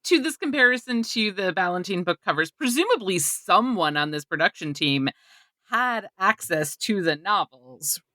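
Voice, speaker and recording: A woman's voice: medium pitch, animated, moderate pace, bright, forceful tone, dry recording. A woman co-hosting a podcast in casual chat with a wry, playful way of talking. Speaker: podcast co-host.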